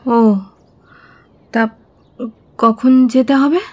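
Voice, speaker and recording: A woman's voice: high voice, steady tone, very slow, heavily acted. A woman playing a poor, loving, anxious village mother in an audio drama, speaking in a tender, hesitant way. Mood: subdued. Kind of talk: audio story acting in Bengali radio drama.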